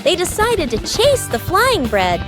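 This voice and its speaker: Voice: very high voice, wildly swooping pitch, a little slow, music in the background. A narrator reading a scripted children's cartoon story.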